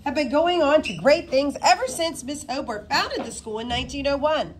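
A woman plays a proud storybook headmistress. She is firm, formal and assured, in a high voice with lively pitch. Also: normal speed, a little hiss or hum. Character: proud, firm, impeccably dressed female school head.

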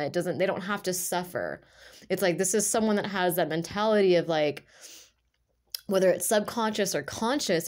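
A woman giving a casual tarot reading, with a stuffy, nasal voice from a sinus infection. She talks earnestly and rambles, full of "like" and restarts. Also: lowish voice. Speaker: tarot reader. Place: indoors at a table with cards on purple cloth.

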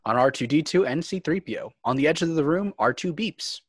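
A man's voice: on the high side, lively intonation, fairly quick, husky, no echo, studio quality. A man narrating screenplay scene headings and action in a scripted read. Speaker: narrator reading stage directions. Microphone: video call.

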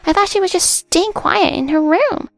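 Woman putting on a shrill, entitled sister-in-law. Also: normal speed, no echo, faint music behind. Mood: desperate, whiny. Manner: bossy, smug, later shrill and pleading. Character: pushy entitled middle-aged woman, scheming sister-in-law.

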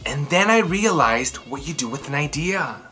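A man in his thirties hosting a children's read-aloud, telling stories and chatting in a theatrical, emphatic way with drawn-out words. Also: fairly high pitch, expressive, music in the background. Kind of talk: expressive storytelling and chatty commentary for children. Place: small furnished room indoors.

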